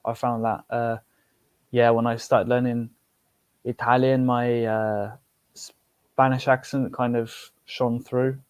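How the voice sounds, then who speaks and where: A man's voice: medium pitch, level tone, takes it slowly, a little mellow, smooth, dry recording. A young British man chatting on a podcast about language learning, rambling with fillers and restarts. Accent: British English. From United Kingdom. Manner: rambling, many fillers and restarts, laughs easily. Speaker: podcast guest, polyglot. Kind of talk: casual podcast chat.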